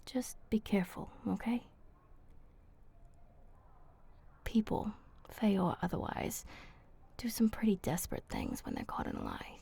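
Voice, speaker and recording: A woman's voice: medium pitch, huge pitch swings, normal speed, dark tone, exaggerated voice. Woman playing a whispering, flirty, protective vampire woman. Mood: teasing, concerned. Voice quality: breathy, husky. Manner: intimate, coaxing, casual slangy speech. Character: flirty, protective female vampire, soft and teasing. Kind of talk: whispered ASMR roleplay.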